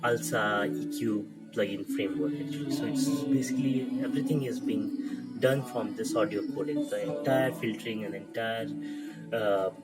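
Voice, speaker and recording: A man giving an informal technical walkthrough as presenter of an electronics demo, explaining haltingly and repeating himself. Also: on the low side, low-quality recording. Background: music playing from the demo.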